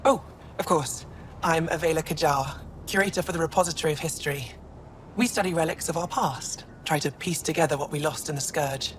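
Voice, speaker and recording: An actress playing a warm, curious female alien curator in a video game, thoughtful and inquisitive. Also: sits low, a little fast, a little hiss or hum, lightly acted. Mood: intrigued, friendly. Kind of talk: video game dialogue acting.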